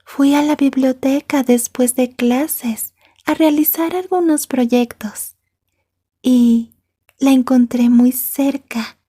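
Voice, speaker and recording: A woman playing a possessive, jealous yandere schoolgirl in an ASMR roleplay, soft and breathy, taunting and slipping into whispers. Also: high voice, exaggerated voice.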